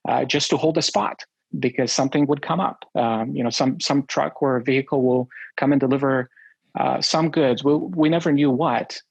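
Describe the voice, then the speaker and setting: A man's voice: medium pitch, no echo, lo-fi sound. Romanian-born man telling his story. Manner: halting, many uh and um fillers. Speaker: guest.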